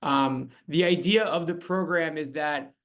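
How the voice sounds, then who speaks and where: A man's voice: fairly high pitch, measured pace, fairly bright tone. A man hosting an online information session for a youth program, speaking in a friendly way with many ums and uhs over a video call. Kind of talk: webinar presentation.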